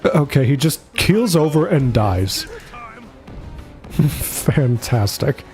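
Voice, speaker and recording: A man's voice: medium pitch, very animated, very slow, a little mellow. A man giving casual, jokey livestream commentary on a video game and chuckling often. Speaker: streamer. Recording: game music behind the voice.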